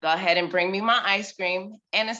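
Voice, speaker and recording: A woman in her thirties, a mother, telling a personal story on a video call with expressive, dramatic delivery and big swings in loudness. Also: medium pitch, brisk, muffled recording.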